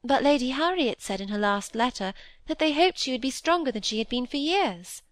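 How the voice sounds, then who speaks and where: A woman's voice: sits high, expressive, a little fast, no echo, pitched above the performer's own voice. A woman doing an innocent young girl. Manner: innocent, earnest.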